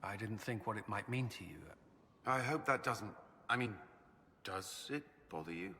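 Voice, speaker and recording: An actor playing an earnest, troubled former templar commander in a video game, with a breathy, husky voice. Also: male voice, fairly low pitch, moderate pace, sharp tone, big-room sound, heavily acted. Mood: shy, tender. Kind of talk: dramatic video game acting.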